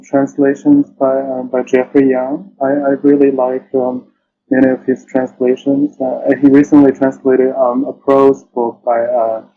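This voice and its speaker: A man being interviewed over a call, talking casually and hesitantly, often pausing mid-phrase and repeating himself. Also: mid-range voice, warm tone. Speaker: interview guest, poet and translator. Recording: dull lo-fi call with clear noise.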